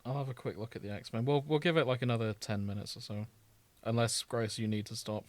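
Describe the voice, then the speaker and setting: A man's voice: mid-range voice, steady tone, fairly quick, a little mellow, no room sound. A man co-hosting a podcast in casual, conversational chat. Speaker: podcast co-host.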